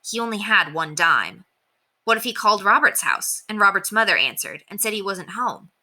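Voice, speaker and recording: A woman's voice: mid-range voice, very animated, normal speed, pushed and bright. A woman reading a children's chapter book aloud as a storyteller, in scripted narration.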